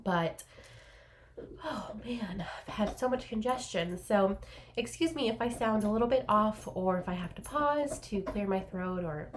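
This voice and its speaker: A woman's voice: medium pitch, lively intonation, a little slow, slight background noise. A young woman hosting a craft podcast from her small home office, chatting casually and rambling with lots of ums, a little congested, recorded on a laptop's built-in mic. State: congested from allergies. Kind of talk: casual solo chat to camera.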